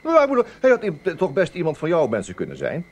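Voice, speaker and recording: An actor playing a wry, self-assured investigator in a radio play, explaining himself to a superior. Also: male voice, fairly high pitch, wildly swooping pitch, fast talker, exaggerated voice. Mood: wry, defensive. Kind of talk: Dutch radio play acting.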